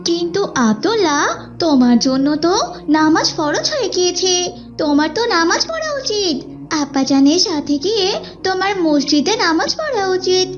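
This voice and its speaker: A woman's voice: very high voice, very animated, moderate pace. A woman voicing a pious, insistent young big sister who nags and admonishes in an Islamic cartoon, in a dull recording. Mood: admonishing. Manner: nagging, admonishing. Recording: dull lo-fi audio with music behind.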